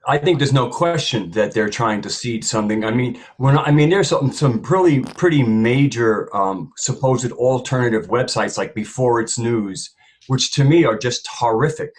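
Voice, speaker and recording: A man's voice: medium pitch, moderate pace. A man speaking as a panelist in an opinionated discussion, rambling and emphatic, often repeating phrases for weight.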